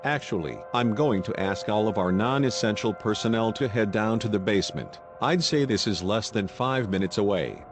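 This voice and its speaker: A man's voice: lowish voice, moderate pace, few pauses, over music, natural voice. A man playing a TV weatherman, grave, urgent and alarmed as he gives a live tornado emergency broadcast. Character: urgent male TV weatherman during a deadly tornado. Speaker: TV meteorologist.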